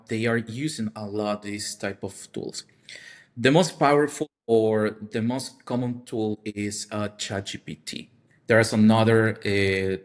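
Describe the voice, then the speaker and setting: A man with a lowish voice speaks as an expert guest on a podcast. He explains in a conversational way, halting and repeating words while he looks for the right phrase. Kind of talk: explanatory discussion.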